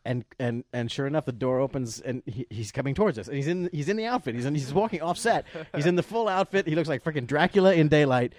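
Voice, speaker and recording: A man telling a casual anecdote about his work as a puppeteer, animated and acting out the voices of people he quotes. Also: on the high side, fast talker, hardly any pauses. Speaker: guest recounting work as a puppeteer.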